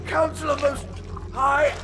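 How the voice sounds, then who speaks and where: An actor playing a zealous alien religious leader in a sci-fi game, pompous and declamatory. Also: male voice, extremely high pitch, level tone, slow, crowd noise. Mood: pompous indignation. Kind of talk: cinematic sci-fi game acting. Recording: echoey, with loud music behind.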